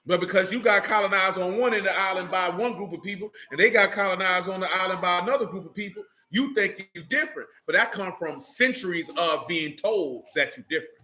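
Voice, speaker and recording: High, bright-voiced streetwise man. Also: brisk, hardly any pauses, slight background noise. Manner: streetwise, emphatic, keeps checking in with listeners. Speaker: teacher of a religious assembly. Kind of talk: informal group teaching.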